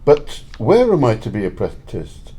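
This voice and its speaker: Man acting a wistful old miser, swooping pitch. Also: huge pitch swings, unhurried, warm tone. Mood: subdued, earnest. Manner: exclamatory, emotional. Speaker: amateur library staff actor. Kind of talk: audio play acting.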